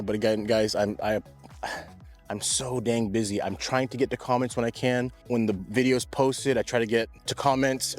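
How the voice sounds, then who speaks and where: A man's voice: medium pitch, a little mellow. A young man vlogging about his lawn in a casual, chatty way, full of slang like bro and dude. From Pacific Northwest. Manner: laid-back, chatty, slangy, says bro and dude a lot. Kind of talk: casual vlog talk to camera. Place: outdoors in a yard.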